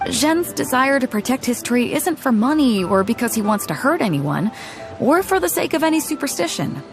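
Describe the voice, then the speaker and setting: A woman voicing a confident, easygoing female ship captain who settles disputes with an amused, relaxed manner. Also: high voice, moderate pace. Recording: game cutscene with loud background music.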